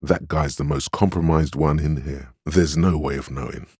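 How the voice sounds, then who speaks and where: The inner voice of willpower in a video game. It is an extremely deep, slightly husky male voice, stern and weary, recorded dry and close. It narrates and admonishes deliberately, with grave, wry protectiveness. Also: steady tone, a little mellow, no room sound. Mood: grave, cautioning. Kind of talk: dramatic second-person game narration and inner-voice dialogue.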